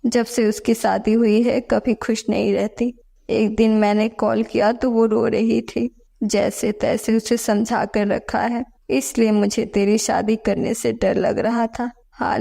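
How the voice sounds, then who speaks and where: A woman acts out a protective, worried mother in a moral tale. Her voice is fairly high yet creaky and mellow, as she counsels her daughter. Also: level tone, a little slow, exaggerated voice. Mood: relieved, confiding. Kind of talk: scripted audio story dialogue.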